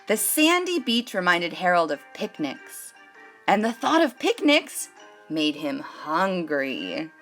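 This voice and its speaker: A woman's voice: on the low side, huge pitch swings, slow pace, fairly bright tone, faint music behind. A woman hosting a children's storytime, reading a picture book aloud expressively and addressing young listeners.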